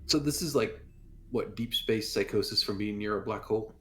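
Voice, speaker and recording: A man's voice: lowish voice, normal speed, fairly bright tone. A man chatting casually and eagerly as a player at a tabletop roleplaying game.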